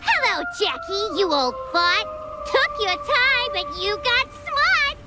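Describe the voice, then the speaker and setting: Playful cartoon mouse for a game: very high, singsong, mocking rhymes, unhurried. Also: female voice, very animated, heavily acted. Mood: teasing. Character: teasing, playful female cartoon mouse who talks in rhymes. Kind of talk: cartoon video game voice acting. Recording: loud music behind voice.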